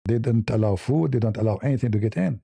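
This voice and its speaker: A man's voice: mid-range voice, fast, gravelly, dark tone, slightly rough, dry recording. A man hosting a live news commentary stream, blunt and opinionated, rambling with hesitant fillers and speaking English as a second language. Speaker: host.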